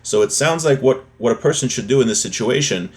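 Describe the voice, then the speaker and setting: A man's voice: mid-range voice, expressive, fairly quick, darkish tone. A man giving an explanatory religious lesson, reading from a text and explaining it, repeating and rephrasing key points in a didactic way. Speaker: religious teacher.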